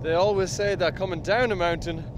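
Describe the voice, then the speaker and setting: A man's voice: high-pitched, fairly even intonation, fairly quick, background noise. A man in his twenties with an Irish accent, talking to camera in a casual, self-mocking adventure vlog. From Ireland. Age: 20s. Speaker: vlogger.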